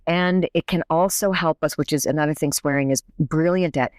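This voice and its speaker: A woman's voice: sits low, brisk, a little mellow, dry recording. Woman explaining research, dry close mic. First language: English. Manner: thoughtful, explanatory, frequent restarts. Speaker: professor, guest researcher. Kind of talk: conversational interview.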